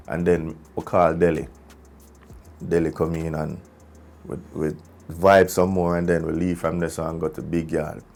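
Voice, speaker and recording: A Jamaican man, a musician, telling a story casually in an animated way, with patois phrasing. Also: low-pitched, gravelly. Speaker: musician, singer in a group.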